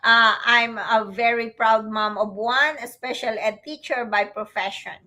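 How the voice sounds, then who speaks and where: A middle-aged woman with a Filipino accent hosting a livestream, talking to viewers in a reflective, halting way. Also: a little high, steady tone, bright, forceful tone. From Philippines. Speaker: host, online business owner.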